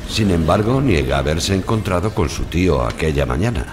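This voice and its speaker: A man's voice: deep voice, expressive, fairly quick. An actor playing a stern, formal judge in an audio drama, a man. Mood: formal, probing. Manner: formal, authoritative. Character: stern, formal male judge questioning in court.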